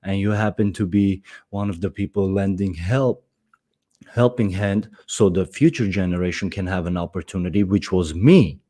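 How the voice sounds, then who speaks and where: A man's voice: low-pitched, moderate pace, mellow. A man in his thirties from Armenia, hosting a casual interview, talking with lots of hesitations, fillers and restarts. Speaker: podcast host.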